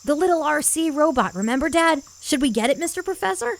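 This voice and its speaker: Woman voicing an earnest, animated young boy. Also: sits high, fast talker, gravelly. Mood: earnest, eager. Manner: eager, sincere. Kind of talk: kids' audio drama acting.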